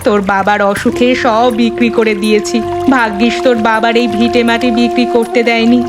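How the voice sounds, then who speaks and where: A woman's voice: on the high side, little pitch movement, moderate pace, close to an everyday voice. A woman playing a sorrowful but resolute elderly village mother in a melodramatic cartoon, plaintive and exhorting. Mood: bitter, sad. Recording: music behind the voice. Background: background music.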